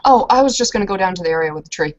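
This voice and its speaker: A woman's voice: medium pitch, expressive, racing, a little mellow. A woman playing a tabletop roleplaying game, chatting casually in character. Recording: dull lo-fi online call audio.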